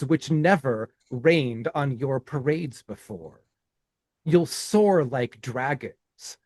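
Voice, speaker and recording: Slow, higher-voiced man reading a rhyming poem. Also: darkish tone, no room sound. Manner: slow, deliberate, with halting restarts in chat. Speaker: podcast co-host. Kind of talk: reading his own rhyming poem aloud, then casual chat.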